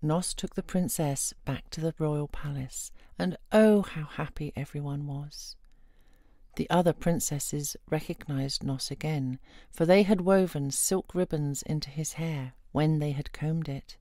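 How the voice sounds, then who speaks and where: A woman reading a fairy tale aloud as an expressive storyteller. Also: sits low, a little slow, no echo, studio quality.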